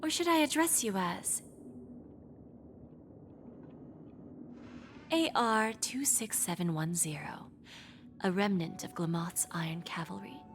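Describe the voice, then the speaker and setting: An actress playing a poised, calculating dealmaker in a video game, with a measured, theatrical and knowing delivery. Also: female voice, mid-range voice, slight background noise, natural voice. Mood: smug, probing. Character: poised, calculating female dealmaker who grants wishes at a price. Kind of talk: video game character dialogue. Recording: music playing behind the voice.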